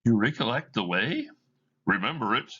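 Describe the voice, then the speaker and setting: A man reading aloud as a gentle but insistent male spirit of the past, asking pointed questions. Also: mid-range voice, lively intonation, normal speed, husky, highs cut off. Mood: probing.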